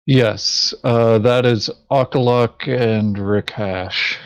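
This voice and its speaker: A man's voice: medium pitch, fairly even intonation, extremely slow, smooth, no echo, highs cut off. A man chatting casually as a board game player, giving short, hesitant answers. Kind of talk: casual chat while planning a board game session.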